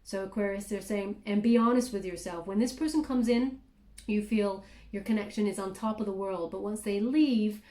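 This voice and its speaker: Mellow-voiced woman giving a chatty tarot reading. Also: medium pitch, normal speed, echoey room. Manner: chatty, frequent fillers and "okay?" check-ins. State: clearing throat, voice catching. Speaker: tarot reader.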